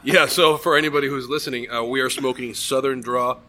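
A man co-hosts a casual podcast. His voice is bright and forward, his delivery dry and explanatory. A faint layer of room noise lies behind him. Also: medium pitch, steady tone, fairly quick. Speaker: podcast co-host, sound man.

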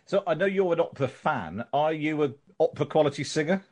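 A man's voice: fairly high pitch, lively intonation, fast talker, darkish tone. A man hosting a casual interview, asking questions enthusiastically and laughing easily.

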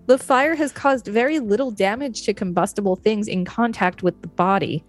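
A woman's voice: mid-range voice, normal speed, faint music behind. A woman co-hosting a podcast, chatting casually and reading an article aloud. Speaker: podcast co-host.

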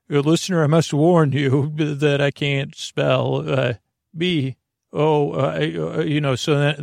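A man hosting a bedtime sleep podcast, speaking in a gravelly, creaky voice and meandering haltingly through tangents with stammers and trailing thoughts. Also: medium pitch, no echo, clean studio sound. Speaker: podcast host.

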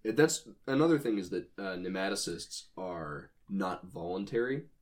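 A man's voice: deep voice, animated, normal speed. A man co-hosting a podcast, chatting casually and explaining his ideas as he brainstorms. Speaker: podcast co-host. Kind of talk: casual chat, speculative brainstorming about creatures.

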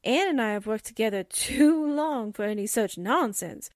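A woman acting a motherly, shrewd secretary sidekick in 1940s radio style. She has a fairly high, bouncy, emphatic voice in a dry recording. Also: animated, normal speed, no room sound, close to an everyday voice. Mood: knowing.

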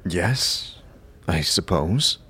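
A man's voice: low-pitched, animated, very slow, bright, forceful tone, breathy edge, voice pushed down, heavily acted. A man playing an amnesiac undead wanderer in a fantasy audio drama, dry, earnest and understated. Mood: hesitant, earnest. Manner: hesitant, understated. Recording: faint background noise and ambience.

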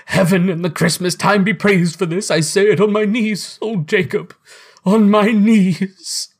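A man's voice: fairly high pitch, moderate pace, creaky, slightly rough. A man voicing a fervent, giddy old miser who has reformed, in an exclamatory, breathless storybook reading. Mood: fervent gratitude. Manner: exclamatory, effusive, repeating himself. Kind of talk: dramatic storybook character reading.

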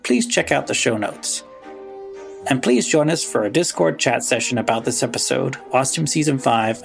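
A man hosts a podcast, reading prepared announcements and credits. His mid-range voice has plenty of vocal fry, and faint music sits underneath. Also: medium pitch. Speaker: podcast host.

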